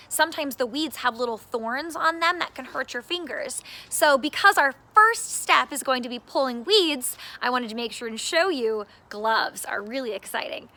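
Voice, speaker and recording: High, bright-voiced woman teaching young children. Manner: upbeat, addressing young children in simple terms. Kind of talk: children's read-aloud and gardening demonstration.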